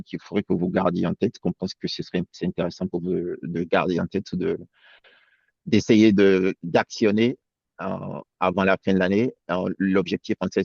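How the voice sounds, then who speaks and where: Low gravelly man, halting webinar talk. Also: darkish tone, dry recording. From France. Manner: hesitant, many fillers and restarts. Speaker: accountant guest. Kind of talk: webinar presentation. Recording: dull lo-fi sound.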